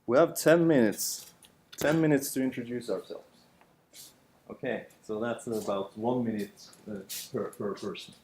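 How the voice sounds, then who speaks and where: A man's voice: mid-range voice, normal speed, darkish tone, slight background noise. A man with a Norwegian accent leading a seminar, talking loosely with many fillers. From Norway. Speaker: lecturer. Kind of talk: seminar introduction. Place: lecture room. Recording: echoey classroom.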